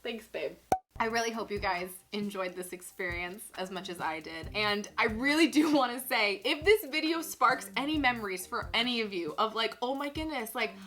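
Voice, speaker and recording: High, bright-voiced giggly woman storyteller. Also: moderate pace. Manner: giggly, theatrical, expressive. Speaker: vlog host. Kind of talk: dramatic reading of own teenage writing with casual chat.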